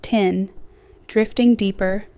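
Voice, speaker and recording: A woman gently guiding a sleep hypnosis meditation in a slow, soothing, scripted delivery with softly trailing phrases. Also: medium pitch, steady tone, dry recording, clean studio sound. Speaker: hypnosis guide.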